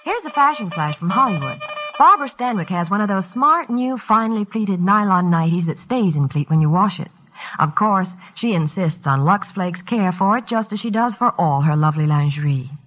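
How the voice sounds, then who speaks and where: A woman's voice: mid-range voice, animated, normal speed, fairly bright tone, faint music behind. A woman reading a scripted old-time radio commercial with a sales delivery. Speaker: commercial announcer. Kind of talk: 1940s-50s radio ad read. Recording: dull old radio broadcast.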